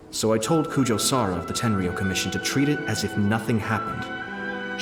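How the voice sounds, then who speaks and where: An actor playing a sly, composed man who speaks in a measured, formal, faintly amused way. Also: lowish voice, natural voice. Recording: roomy game voice-over with music.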